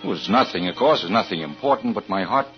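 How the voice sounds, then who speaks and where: An actor playing a troubled married insurance investigator, confessing haltingly in 1940s radio suspense style. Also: male voice, fairly low pitch, expressive, lightly acted. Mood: anxious. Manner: halting, hesitant confessional narration. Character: troubled married insurance investigator recounting his story, anxious. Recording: muffled vintage radio recording with music.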